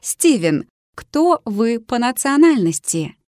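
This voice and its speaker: Slow, clearly spoken woman. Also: on the high side, big pitch swings, darkish tone, no room sound, studio quality. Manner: measured, carefully articulated. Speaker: language teacher. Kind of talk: scripted lesson dialogue reading.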